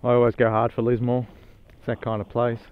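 A man's voice: medium pitch, completely flat delivery, brisk. A man riding a motorbike and joking as he chats on a helmet intercom. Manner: joking, chuckles often. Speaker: motovlogger host. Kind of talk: casual helmet-cam commentary. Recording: muffled, loud wind noise.

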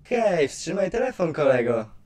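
An excitable, brooding-prone cartoon skeleton artist, acted by a man, speaks in a slightly high voice. The delivery is theatrical and expressive, with slow, drawn-out phrasing made for an animated fan dub. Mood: friendly, encouraging.

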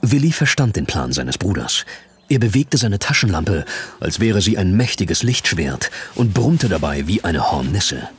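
Deep, expressive male audiobook narrator. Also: a little slow, slight background noise. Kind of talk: dramatic scripted audiobook narration.

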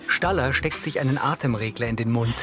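A man's voice: medium pitch, brisk, music in the background. A man reading scripted audio description, narrating scenes in the present tense.

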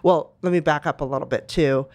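A woman's voice: deep voice, a little fast, darkish tone, no echo. A middle-aged woman from Romania, answering interview questions in a reflective way with many fillers and restarts. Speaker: guest, music company executive.

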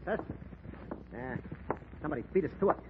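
A man playing a surly henchman with rough street grammar in a 1940s radio gangster drama. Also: on the high side, lively intonation, fast, close to an everyday voice. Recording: muffled, noisy 1940s radio recording.

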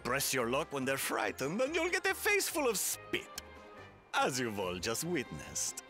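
An actor playing a proud, eccentric merchant leader in a video game, speaking in a pompous, theatrical and wordy manner. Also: male voice, medium pitch, wildly swooping pitch, unhurried, sharp tone, soft background music, full character voice. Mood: lecturing. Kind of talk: video game cutscene acting.

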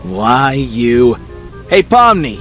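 A man's voice: mid-range voice, very animated, extremely slow. Smug, swooping cartoon rabbit played by a man. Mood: boastful. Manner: mocking, sarcastic. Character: smug, teasing purple cartoon rabbit troublemaker, male. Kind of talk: comic dub voice acting. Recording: music behind the voice.

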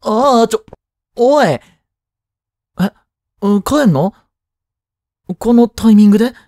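Male actor as jealous teenage boy, romantic audio drama, hesitant, boyish, slow-paced, dry close recording. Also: sits high, lively intonation, dark tone, no room sound, full character voice. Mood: flustered, casual. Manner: boyish, hesitant, muttering to himself. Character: jealous, flustered teenage boy in love with childhood friend. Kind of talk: Japanese romantic voice drama acting.

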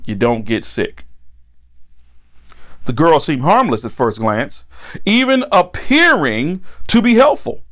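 A middle-aged man preaching a Bible-teaching sermon, emphatic and repeating key phrases for stress. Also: mid-range voice. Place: indoor set with backdrop, at a table.

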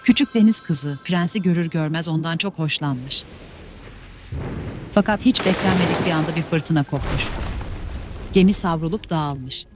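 A woman narrating classic fairy tales for children in a soft, measured way. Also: low voice, over music. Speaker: storyteller. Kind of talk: scripted fairy-tale narration.

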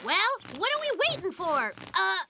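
A performer voicing a boastful, excitable young boy bear cub in a children's cartoon. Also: very high voice, normal speed, creaky, heavy background noise, heavily acted. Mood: eager. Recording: music behind the voice. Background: children's cartoon music.

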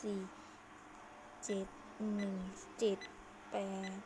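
A woman giving casual commentary as she hosts a game playthrough. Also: slow. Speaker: gaming video host. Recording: clearly noisy home recording.

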